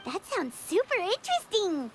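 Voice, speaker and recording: An actress voicing a tiny, excitable childlike fairy companion in an anime game, perky and squeaky. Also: female voice, normal speed, noisy background. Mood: curious, amazed. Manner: squeaky, perky, speaks of self in third person.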